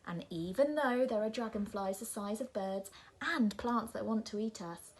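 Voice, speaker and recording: A woman voicing a bored, stubborn young girl who insists loudly, in a breathy voice. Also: mid-range voice, big pitch swings, a little slow. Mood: insistent. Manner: insistent, exasperated.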